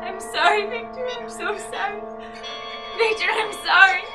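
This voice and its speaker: A woman's voice: very high-pitched, fairly even intonation, unhurried. A woman acting a frantic, grieving young mother with anguished pleading, in the manner of a live radio play. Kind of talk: dramatic live reading like an old-time radio play. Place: bookstore, live performance. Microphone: distant audience camera. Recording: distant live recording under loud music. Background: violin music.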